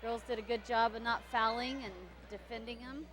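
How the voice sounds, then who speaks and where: Woman, play-by-play for local school basketball, chatty, a touch high-pitched, gets excited on plays. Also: fairly quick. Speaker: basketball commentator. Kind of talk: live play-by-play commentary. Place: gym during a high school game.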